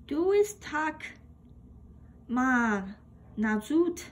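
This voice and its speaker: A woman reading a children's picture book aloud in a deliberate way, acting out the exclamations. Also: high voice, sing-song. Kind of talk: children's read-aloud storytelling. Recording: home recording with background noise.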